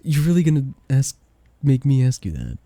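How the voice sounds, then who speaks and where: Romance ASMR roleplay: shy, tender best friend in love, played by a man, gravelly and lively in pitch, hesitant delivery. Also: normal speed, dry recording. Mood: giddy, flustered.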